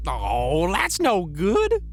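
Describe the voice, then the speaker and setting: Excitable, swooping Old West explosives seller. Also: female voice, fairly low pitch, drawn-out words, full character voice. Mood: giddy. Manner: folksy, whooping, theatrical. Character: gleeful, excitable Old West dynamite seller named Spots. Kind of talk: audio drama acting.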